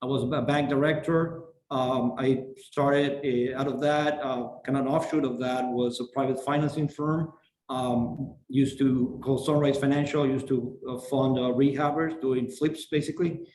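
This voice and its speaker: A middle-aged man from Venezuela, giving a halting orientation talk over a video call, full of fillers and restarts. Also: mid-range voice, fairly even intonation, darkish tone. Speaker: program founder. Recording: roomy echo, faint noise.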